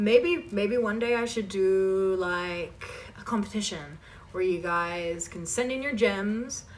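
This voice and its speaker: A woman's voice: fairly high pitch, bright, forceful tone, far from the mic. A woman hosting a casual question-and-answer chat for her viewers, informal and emphatic as she reads questions aloud and answers them.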